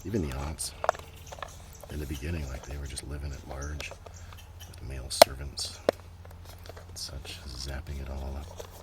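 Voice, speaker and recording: A man softly rambling in casual ASMR style, hushed and at times close to a whisper, talking through things he is showing. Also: rumbling low, level tone, a little slow, long pauses. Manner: hushed, sometimes dropping into a whisper. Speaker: ASMR video creator. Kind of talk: soft-spoken ASMR show-and-tell, rambling casual commentary. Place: outdoors in a gentle breeze. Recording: clearly noisy outdoor ambience. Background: outdoor ambient noise, gentle breeze.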